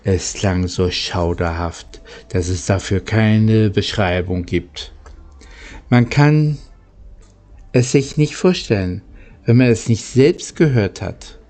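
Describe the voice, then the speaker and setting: A man narrating a children's story from a script, in a halting way that stumbles over words. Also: fairly low pitch, dark tone. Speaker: audiobook narrator.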